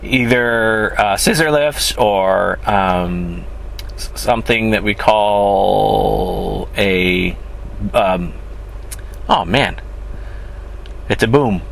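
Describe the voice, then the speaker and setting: A man's voice: fairly low pitch, animated, very slow, vocal fry, long pauses. A man with a stuffy, sniffly voice chatting casually to his viewers in a rambling, self-correcting way. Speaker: film crew member. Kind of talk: casual vlog monologue. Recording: traffic noise outdoors.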